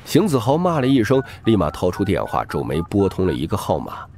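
A man's voice: deep voice, huge pitch swings, fairly quick, darkish tone. A man reading scripted, dramatic narration as an audiobook narrator.

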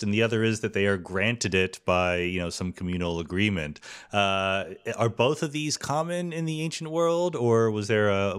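Podcast host guy, lowish voice, curious, asks stuff casually. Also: a bit bright. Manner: curious, poses questions casually. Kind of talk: conversational interview.

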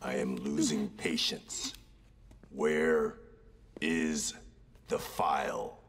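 Very deep, slow, menacing villain. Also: male voice, fairly even intonation, fairly bright tone, slightly rough, heavily acted. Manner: slow, deliberate, menacing delivery. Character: menacing male villain gang enforcer, cold and threatening. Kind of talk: video game cutscene acting. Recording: long hall reverb, background noise.

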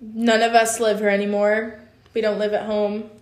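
A woman's voice: medium pitch, flat delivery, normal speed. A 19-year-old woman chatting casually in a playful, teasing way. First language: Dutch. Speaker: guest on sibling's livestream. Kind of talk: casual chat. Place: room with bookshelves at home. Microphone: phone at arm's length. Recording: roomy indoor echo.

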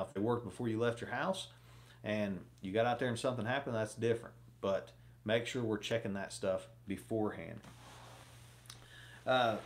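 A man in his thirties talking casually to camera from a small home office, rambling with many fillers while giving fishing advice. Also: fairly low pitch. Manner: rambling, lots of fillers, gestures a lot. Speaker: fishing vlogger.

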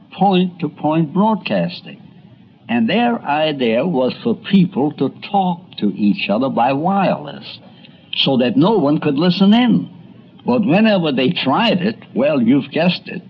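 A man reading scripted narration for a radio documentary. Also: medium pitch. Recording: dull lo-fi radio documentary audio.

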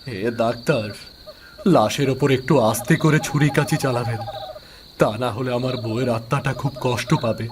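A man's voice: medium pitch, moderate pace, noisy background, natural voice. A man voicing an anxious, guilty young husband in a cartoon. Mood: pleading. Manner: anxious, pleading. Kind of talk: Bengali cartoon dubbing.

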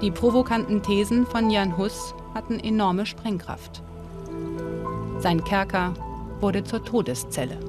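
A woman narrates a history documentary in a calm, measured voice-over. Her pitch sits in the middle range and her delivery stays steady. Clear background music plays under her voice. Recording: studio voice-over with music behind.

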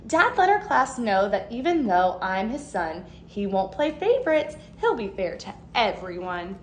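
A woman in her thirties, a teacher, reading a picture book aloud to young children in an expressive, animated way. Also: medium pitch, a little slow, a little hiss or hum. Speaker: second grade teacher. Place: living room at home, indoors.

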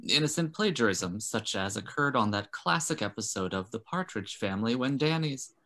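A man's voice: mid-range voice, animated, moderate pace, bright, forceful tone. A man playing a pompous intellectual who delivers a bookish, mock-scholarly monologue in a comedy sketch. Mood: pompous, musing.

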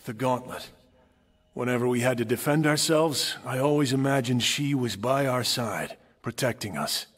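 Weary survivor for a game cutscene, played by a man: very husky, mid-pitched, wry and wistful, talking as if to an old friend. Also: normal speed, natural voice. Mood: wistful recollection. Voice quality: husky, rough-edged. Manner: conversational, musing aloud. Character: world-weary male survivor, wry, wistful, longing for freedom. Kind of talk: video game cutscene acting.

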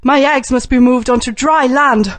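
A woman's voice: high voice, moderate pace, a bit bright, no room sound. Worried mother dinosaur, dry and close. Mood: pleading. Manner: pleading and anxious. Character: worried mother sea dinosaur guarding eggs.

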